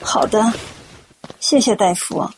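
一位女演员在广播剧里饰演和善、沉稳、宽容的中年阿姨，声音带着气声。. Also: female voice, a little high, slow, whispered, low-quality recording. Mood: gentle. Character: kindly, calm, forgiving middle-aged auntie. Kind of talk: audio drama acting.

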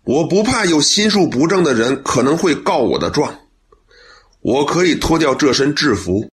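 A man's voice: on the high side, animated, moderate pace, close to an everyday voice. A man acting a sincere, upright young policeman in a radio drama, speaking earnestly. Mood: resolute.

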